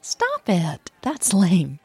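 A woman voicing a playful, flirty younger woman in an audio romance, breathy, soft-spoken and teasing. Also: medium pitch, wildly swooping pitch, drawn-out words, full character voice. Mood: mock scolding.

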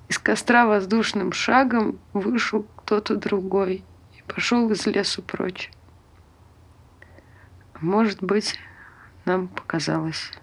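A woman reading scripted literary narration for an audio play, telling the story in measured short phrases. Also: medium pitch, breathy edge.

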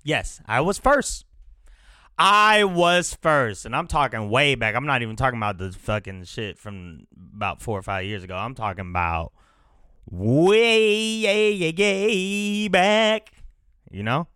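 A man's voice: medium pitch, lively intonation, measured pace, sharp tone, clean tone, no room sound, clean studio sound. A man hosting a casual solo podcast, rambling loosely and cursing often, with a stuffy nose. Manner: loose, profane, rambling with fillers. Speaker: podcast host.

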